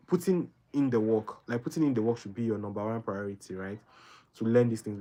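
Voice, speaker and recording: A man's voice: lowish voice, darkish tone. A young Nigerian man hosting a live Q&A, giving earnest, rambling advice and often tagging his sentences with "right?". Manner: earnest, rambling, keeps adding "right?" and repeating himself. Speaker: livestream host answering viewer questions.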